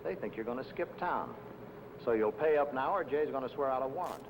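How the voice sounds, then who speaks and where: A man's voice: lively intonation, brisk, natural voice. A man playing a blunt, condescending investigator in a 1950 film noir, leaning hard on a debtor. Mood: stern, lecturing. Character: hard-nosed, threatening male debt-collection investigator. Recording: noisy old film soundtrack, traffic rumble.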